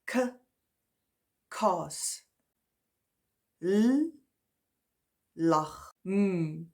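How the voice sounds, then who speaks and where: Slow low-voiced woman. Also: gravelly. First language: Afrikaans. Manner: slow, careful, spells out letters and sample words one by one. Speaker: language teacher. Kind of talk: alphabet pronunciation lesson.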